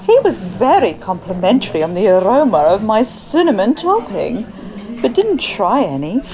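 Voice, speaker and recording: An actress playing a pointed, self-important, competitive village baker in a comic radio drama. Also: female voice, medium pitch, sing-song, normal speed, a crowd in the background, low-quality recording. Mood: snide. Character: competitive, accusing village cake baker woman. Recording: music behind the voice.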